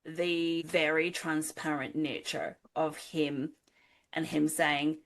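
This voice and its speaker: Low-voiced woman chatting about books. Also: flat delivery, slow. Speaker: book club podcast co-host. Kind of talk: casual book discussion.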